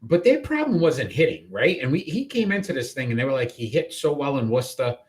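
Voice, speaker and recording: A man's voice: medium pitch, sing-song, a little fast. A man with a Rhode Island accent hosting a live sports talk show, chatting casually and often restarting his sentences.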